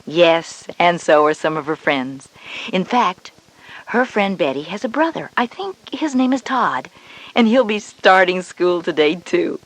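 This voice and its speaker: A woman playing a calm, comforting mother who reasons gently in an educational story tape. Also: on the low side. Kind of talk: scripted educational audio drama.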